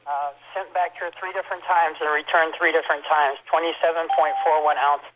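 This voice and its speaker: A man's voice: on the high side, little pitch movement, fairly quick, background noise. A man giving an informal first-hand complaint as a hospital patient, speaking over a telephone conference call. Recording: muffled lo-fi telephone conference line.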